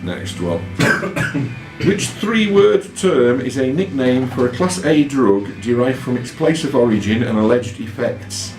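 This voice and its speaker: A male quiz host with a very animated, swinging delivery, reading questions and trading banter. He sounds in an echoey room, with music playing behind him. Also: medium pitch, measured pace. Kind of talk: live pub-style quiz hosting with banter.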